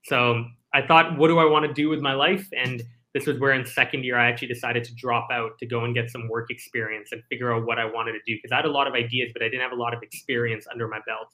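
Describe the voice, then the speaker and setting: A man's voice: mid-range voice, flat delivery, fast, hardly any pauses, sharp tone, low-quality recording. A man in his twenties from Singapore, a startup CEO telling his story in an articulate, thoughtful way during a casual interview. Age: 20s.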